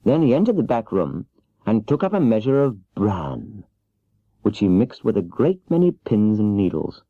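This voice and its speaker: A man's voice: on the low side, sing-song, moderate pace, no echo. A man reading a children's storybook aloud as a scripted audiobook narration. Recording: dull, lo-fi cassette transfer.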